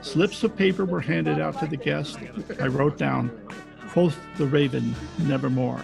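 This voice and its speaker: Guy doing a wisecracking old-radio private eye, super creaky deadpan voice, bit of music behind. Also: a little high, unhurried. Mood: wry narration. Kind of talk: 1940s radio detective narration. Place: home, recorded over video call.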